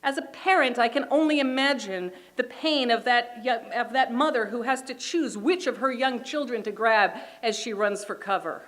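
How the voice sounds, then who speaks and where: A middle-aged woman from Israel, a native Hebrew speaker, delivering a prepared political speech at a rally in an emphatic, deliberate oratorical manner. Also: fairly high pitch, fairly bright tone. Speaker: community organization chair speaking at a rally.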